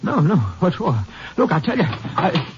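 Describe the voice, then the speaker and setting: An evasive, frightened hobo in a 1950s radio drama. His voice sits high and jumps about as he stammers out denials in rough, folksy speech. The old recording is dull and noisy. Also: big pitch swings, a little slow. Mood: nervous, pleading. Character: scared, evasive male hobo and petty thief.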